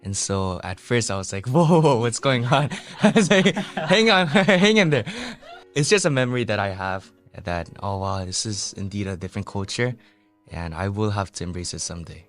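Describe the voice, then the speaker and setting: A man in his twenties, born in Korea and raised in Brazil, answers interview questions and tells lively stories. His voice is fairly low. Faint music plays underneath. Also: moderate pace. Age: 20s. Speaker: guest.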